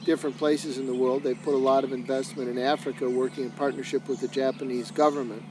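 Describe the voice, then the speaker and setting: Man with a steady mid voice over hum. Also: medium pitch. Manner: steady, measured, with frequent "uh" pauses. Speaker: senior associate and lead trainer. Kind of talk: explanatory documentary interview.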